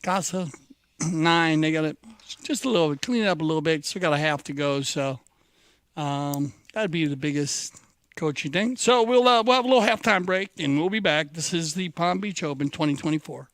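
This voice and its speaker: High-pitched man commentating on live sport. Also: moderate pace, a bit bright, no echo, clean studio sound. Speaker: polo match commentator. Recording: clear background ambience noise.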